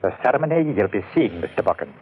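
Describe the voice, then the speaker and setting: An actor playing a canny, loyal manservant in an old time radio drama, speaking emphatically in quaint turns of phrase. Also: male voice, lowish voice, very animated, fast talker. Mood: grim. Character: canny, loyal manservant, grimly urgent and superstitious. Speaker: supporting actor in a radio play. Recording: thin, phone-like old radio recording.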